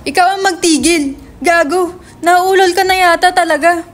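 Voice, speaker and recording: A woman's voice: very high-pitched, fairly even intonation, moderate pace, slight background noise. A woman playing a brash, foul-mouthed young male writer in a dramatic script reading, slangy and insistent. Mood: angry, insistent.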